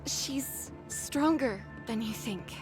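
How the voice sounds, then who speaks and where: A woman voicing a gentle, tender-hearted young female fighter in an anime game, soft and hesitant. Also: a little high, takes it slowly, over music.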